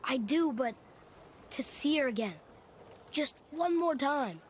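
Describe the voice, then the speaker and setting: A man's voice: very high-pitched, animated, slow pace, a little hiss or hum, exaggerated voice. A young male actor playing an earnest, hopeful boy who is curious, sensitive and a little hesitant, in a video game. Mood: pleading, defensive.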